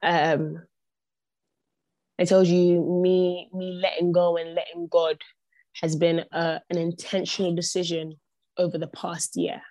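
A woman's voice: lowish voice, level tone, unhurried, a little mellow, no echo. A young woman, a podcast guest whose first language is not English, speaking earnestly and repeating phrases for emphasis. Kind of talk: reflective podcast conversation.

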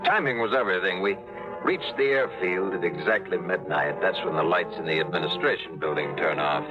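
An actor playing a cynical, wisecracking American adventurer who also narrates, with a breezy hard-boiled delivery, on a 1950s radio drama. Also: male voice, deep voice, a little fast, lightly acted. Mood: narrating. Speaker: narrator of the story. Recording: muffled 1950s radio broadcast with music.

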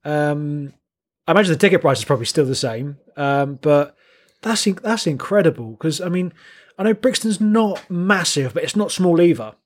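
A man's voice: a little high, animated, moderate pace. A man with a Buckinghamshire countryside accent chatting on a podcast, often repeating words as he gathers his thoughts and reacting with enthusiasm. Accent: Buckinghamshire, English countryside. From Buckinghamshire area, England. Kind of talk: casual podcast chat.

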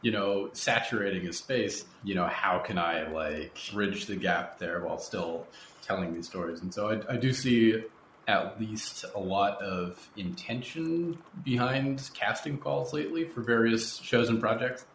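A man's voice: on the low side, unhurried, a bit bright. A man hosting a casual podcast interview in a conversational, informal way. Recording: echoey room, faint background noise.

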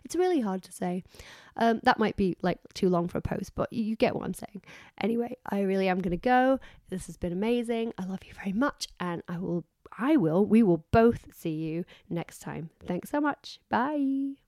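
A woman's voice: medium pitch, animated, moderate pace, mellow, no echo, clean studio sound. A woman co-hosting a podcast in casual, effusive, rambling chat while she is losing her voice. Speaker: podcast co-host.

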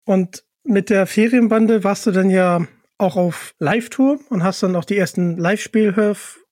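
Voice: medium pitch, moderate pace, darkish tone, dry recording, clean studio sound. An interviewer talking conversationally and appreciatively with a guest.

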